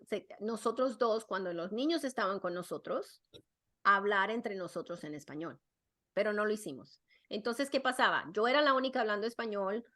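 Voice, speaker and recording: A woman's voice: mid-range voice, a bit bright. A middle-aged woman chats easily as a podcast guest. She restarts her sentences often, repeats words while she searches for them and drops in English. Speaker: guest, clinical psychologist and parenting expert. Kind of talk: casual podcast conversation.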